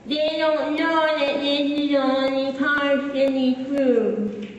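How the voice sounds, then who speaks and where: A woman performing a dramatic monologue as a wry, wistful fourteen-year-old boy, confiding in a conversational way with dramatic pauses. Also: high-pitched, steady tone, pitched above the performer's own voice. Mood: strained, deliberate. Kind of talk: solo dramatic monologue, direct address. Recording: echoey hall, distant, muffled, faint noise.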